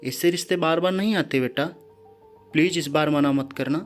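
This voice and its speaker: Domineering middle-aged storybook father, played by the male narrator, insistent, slightly high, light music bed. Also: normal speed, natural voice. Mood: coaxing, insistent. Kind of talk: storybook character dialogue. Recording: background music under the voice.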